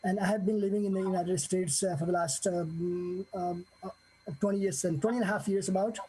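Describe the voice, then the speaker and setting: A man in his forties from Nepal, giving an unscripted personal testimony with many pauses, fillers and restarts. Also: high voice, steady tone, warm tone, slight background noise, rough recording. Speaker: guest sharing a testimony.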